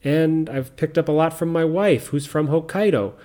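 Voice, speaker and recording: A middle-aged man with a mixed American accent and faint Southern roots, hosting a podcast in slow, clear teaching talk for learners. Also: a little high. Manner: calm, explains step by step, repeats key points. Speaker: podcast host.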